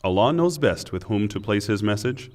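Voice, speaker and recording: A man narrating a scripted scripture translation in a measured, formal and solemn manner. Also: fairly low pitch, expressive, darkish tone. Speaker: narrator reading Quran translation.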